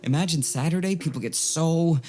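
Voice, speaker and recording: A man's voice: on the high side, brisk, a little mellow, close to an everyday voice. A man playing a self-deprecating washed-up rock musician and affectionate boyfriend in a naturalistic audio drama, wry and casual. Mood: excited. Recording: music under the voice.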